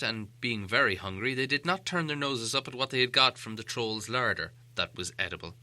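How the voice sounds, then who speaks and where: A man narrating an audiobook tale in an expressive, scripted storytelling style. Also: mid-range voice.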